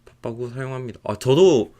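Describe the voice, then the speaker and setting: A man's voice: medium pitch, brisk. A young man from Texas, a native English speaker with an American accent, chatting casually and rambling while he draws on a stream. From United States (Texas). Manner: relaxed, rambling, laughs often. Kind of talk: casual chat while drawing.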